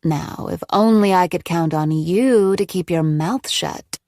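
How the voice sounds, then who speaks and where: A woman reader voicing a cold, calm woman who holds another woman captive, speaking in a measured, quietly threatening way. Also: lowish voice. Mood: menacing.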